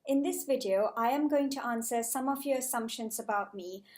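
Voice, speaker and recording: A woman in her twenties from Malaysia, a junior doctor talking to her viewers in a measured, carefully prepared vlog style. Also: fairly high pitch, darkish tone. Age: 20s. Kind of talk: scripted-sounding casual vlog talk to camera.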